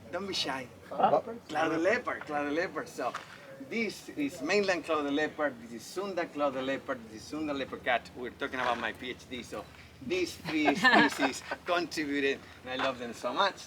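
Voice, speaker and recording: A man's voice: a little high, lively intonation, moderate pace. A man from Spain with a Spanish accent, a wildlife veterinarian giving a friendly, enthusiastic public talk at an outdoor festival. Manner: enthusiastic, friendly, asks the audience questions. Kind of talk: public lecture. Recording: noisy outdoor festival recording.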